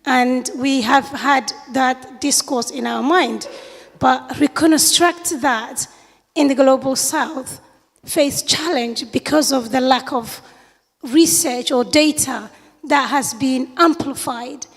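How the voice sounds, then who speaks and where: High, gravelly woman in a reverberant hall. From Somalia, grew up in the UK. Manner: earnest, searching, with fillers and pauses. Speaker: audience member asking a question. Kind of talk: conference Q&A question. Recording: long reverb of a lecture hall.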